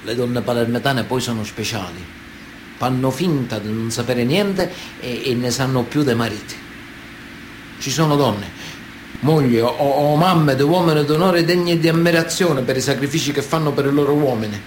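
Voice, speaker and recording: A man's voice: medium pitch, normal speed, noisy background, natural voice. A man acting a Sicilian mafia man of honour in a radio theatre monologue, earnest and matter-of-fact, with a Sicilian accent. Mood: knowing, confiding.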